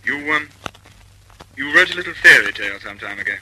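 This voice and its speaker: Bright, quick British officer. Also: male voice, medium pitch, expressive, slight background noise, rough recording. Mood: affable. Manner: clipped, casual, understated. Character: brisk, affable male military officer, a group captain. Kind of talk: mid-century British radio drama acting.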